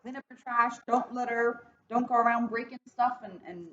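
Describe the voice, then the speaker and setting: A woman's voice: a little high, moderate pace, sharp tone, a little hiss or hum. Woman chatting in an echoey room. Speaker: police officer co-hosting a read-aloud. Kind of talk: casual chat, commenting on a story. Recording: echoey, muffled room recording.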